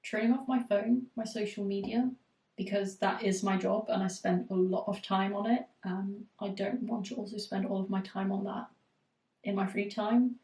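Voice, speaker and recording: A woman with a cold, her voice going hoarse, coaching a live Q&A and giving reflective, steady advice with frequent fillers. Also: medium pitch, normal speed, roomy sound, distant mic, poor audio. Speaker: eating disorder recovery coach.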